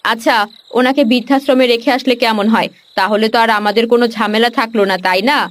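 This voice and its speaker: A woman's voice: fairly high pitch, steady tone, brisk, lightly acted. A woman voicing a harsh, domineering daughter-in-law in a cartoon, with a scolding, scornful manner. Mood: fed up, scheming. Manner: scolding, sharp-tongued.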